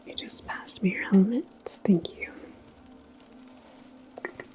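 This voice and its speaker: Slow, whispering woman in ASMR roleplay. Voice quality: breathy whisper. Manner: gentle, reassuring, unhurried. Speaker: ASMR roleplay performer as transit station attendant. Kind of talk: ASMR roleplay, personal attention.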